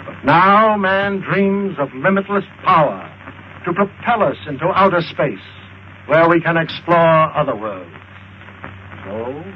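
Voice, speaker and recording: A man's voice: fairly high pitch, a little hiss or hum. An American man narrating a vintage film in a grave, portentous, formal scripted style. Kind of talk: 1950s dramatic scripted narration. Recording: dull old film soundtrack with music behind. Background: music.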